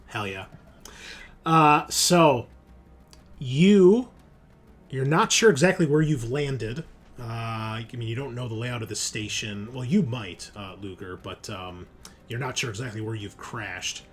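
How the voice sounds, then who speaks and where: A man improvising scene narration as game master of a tabletop roleplaying game, with halting, hesitant pauses. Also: mid-range voice, husky. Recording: noticeable background noise.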